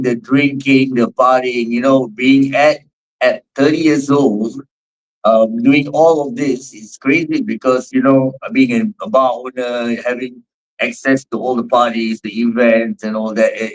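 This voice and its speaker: A man's voice: mid-range voice, fairly even intonation, a little slow, rough recording. A man in his forties with a Singaporean accent, a fitness trainer answering interview questions in a rambling way with many fillers. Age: 40s. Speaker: guest, fitness trainer and gym owner.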